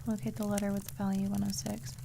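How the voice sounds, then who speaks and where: A woman's voice: mid-range voice, completely flat delivery, fast, vocal fry, noisy background. A woman giving casual gameplay commentary, muttering and puzzling things out to herself. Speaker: game streamer.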